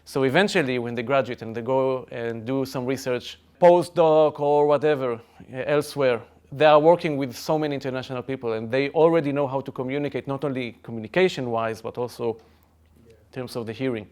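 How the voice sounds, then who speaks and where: Clear-voiced man with Israeli accent. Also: mid-range voice, steady tone, brisk, a little mellow. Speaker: chemistry professor. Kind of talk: conversational podcast discussion.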